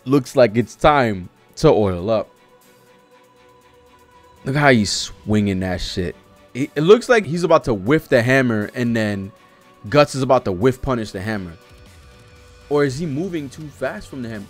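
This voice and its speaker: Young american guy streamer reacting to stuff, super animated pitch, swears a lot, bit of music behind. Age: young adult. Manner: animated, slangy, profane reactions. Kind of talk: casual live read-along commentary. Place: home studio room with streaming setup. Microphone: desk microphone close by.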